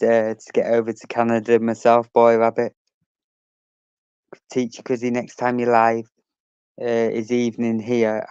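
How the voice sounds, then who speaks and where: Guy chatting on his stream, reads the chat out, smooth voice but pretty monotone, dull lo-fi sound. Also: medium pitch, dry recording. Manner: chatty, reads out chat messages, swears casually. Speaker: livestream host reading chat. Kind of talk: casual chat.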